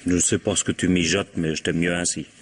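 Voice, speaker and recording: An actor in a French radio drama playing a weary, cutting husband, husky-voiced, curt and reproachful. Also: male voice, low voice, little pitch movement, fast, a little hiss or hum, close to an everyday voice. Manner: curt, reproachful, dryly sarcastic. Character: exasperated, cutting husband weary of his jealous wife.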